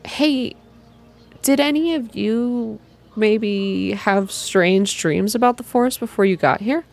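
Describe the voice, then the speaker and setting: A woman's voice: medium pitch, takes it slowly, vocal fry, voice pushed up. A woman voicing a curious, unsettled young female heroine of a visual novel, hesitant and questioning.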